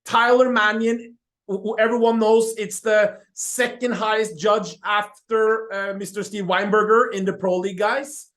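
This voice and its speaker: A man's voice: high voice, flat delivery, measured pace, fairly bright tone. A man hosting an interview, asking questions with enthusiasm. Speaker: show host, interviewer.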